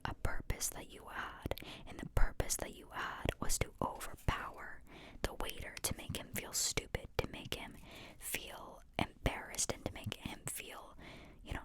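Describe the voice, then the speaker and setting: A woman speaking in a breathy, unvoiced whisper, reflecting informally and earnestly in an ASMR style as she questions her own ideas. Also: normal speed. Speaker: host sharing reflections on a psychology book.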